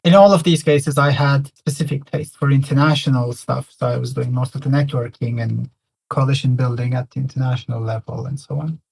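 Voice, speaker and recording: A middle-aged man from Turkey, speaking as an interview guest in a relaxed, matter-of-fact way. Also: medium pitch, a little fast, vocal fry, husky, low-quality recording. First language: Turkish. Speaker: guest, climate organizer. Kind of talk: casual interview talk.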